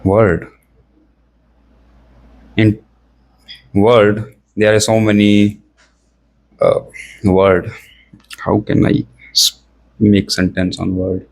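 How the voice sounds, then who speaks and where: Young Indian male learner, Indian English accent, halting, filler-heavy delivery, lower register. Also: flat delivery, creaky, darkish tone, poor audio. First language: Hindi or another Indian language. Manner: hesitant, searching for words, many fillers. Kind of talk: casual practice conversation.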